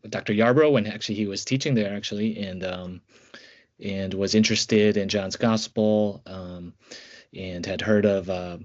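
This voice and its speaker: A man's voice: fairly low pitch, steady tone, moderate pace. A man who works as an associate pastor, introducing himself hesitantly with many fillers in a podcast conversation. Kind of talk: conversational interview. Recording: muffled lo-fi podcast audio.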